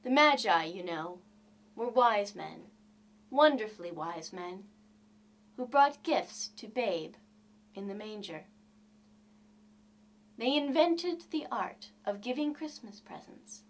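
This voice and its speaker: Slow, lively woman storyteller. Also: medium pitch. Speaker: reader of literature. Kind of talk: expressive audiobook narration of a classic short story.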